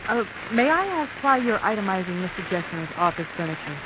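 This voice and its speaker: A woman's voice: medium pitch, sing-song, brisk, close to an everyday voice. An actress playing a tough, calculating young woman with a dry, hard-edged manner in a 1940s radio drama. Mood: wary. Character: cool, calculating, tough young female schemer. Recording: hissy, muffled vintage radio recording.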